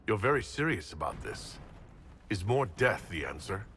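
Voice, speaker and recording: An actor playing a resolute leader of a holy order in a fantasy video game, steady and deliberate. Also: male voice, on the low side, slight background noise. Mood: solemn, merciful. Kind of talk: dramatic video game acting.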